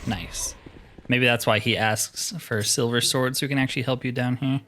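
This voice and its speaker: A man's voice: medium pitch, fairly even intonation, moderate pace, bright, forceful tone. A man giving casual, mildly exasperated commentary while playing a video game, thinking aloud as he goes. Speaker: gaming video host.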